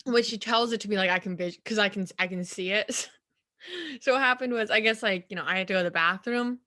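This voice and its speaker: A woman's voice: mid-range voice, quick pace, sharp tone. A young woman from Russia, chatting as a guest in a laid-back, rambling way, full of "like.". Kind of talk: casual chat.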